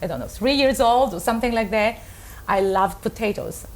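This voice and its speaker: A woman's voice: fairly high pitch, normal speed. Hesitant woman from Israel, native Russian speaker. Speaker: opera soprano, interview guest. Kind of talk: casual interview talk.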